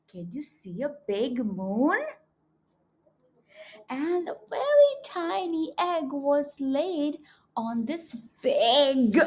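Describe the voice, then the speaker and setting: A woman with a high voice reads a storybook to small children in a teacherly way. Her delivery is extremely slow, with deliberate stress on words. The pitch swings in a lively way as she coaxes young listeners along with questions. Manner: expressive, coaxing, addressing young children. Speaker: children's read-aloud teacher.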